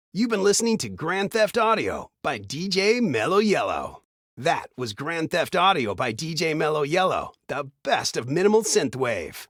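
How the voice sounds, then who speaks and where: An actor playing an upbeat retro radio DJ in a video game, with a smooth announcer's delivery of scripted patter. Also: male voice, high-pitched, a bit bright, dry recording, studio quality, exaggerated voice. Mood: upbeat, easygoing.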